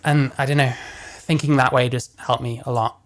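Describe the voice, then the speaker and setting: A man with a deeper voice giving casual reaction commentary, blunt and profane as he rants. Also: steady tone, normal speed. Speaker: commentary host.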